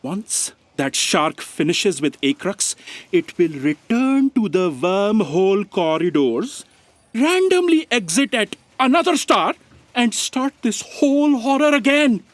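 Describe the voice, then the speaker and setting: Woman as exasperated female official, audio drama, commanding, blunt, mid-pitched, dry recording. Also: sing-song, slow pace, no echo. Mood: urgent briefing. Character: stern, exasperated official in charge.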